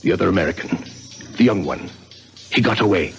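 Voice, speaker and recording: A cold killer. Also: male voice, sits low, animated, a little fast, slight background noise. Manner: cold, smooth and threatening. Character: menacing French waiter and hired killer. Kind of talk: 1950s radio drama acting.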